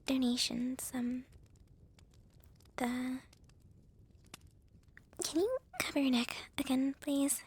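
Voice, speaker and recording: A woman playing a shy, gentle young vampire with a crush in a whispered ASMR roleplay, speaking softly and haltingly with a breathy voice. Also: sits high, full character voice. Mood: flustered. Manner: hesitant, halting, soft-spoken.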